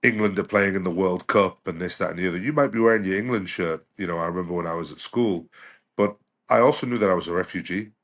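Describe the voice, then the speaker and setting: A man's voice: sits low, fast talker, fairly bright tone. A middle-aged man who grew up in the UK, a doctor talking as a podcast guest in a reflective, conversational way. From United Kingdom. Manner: reflective, with frequent "you know" and restarts.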